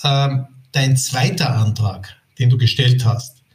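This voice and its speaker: Man, interviewer, measured questions, thoughtful pauses. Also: medium pitch, fairly even intonation.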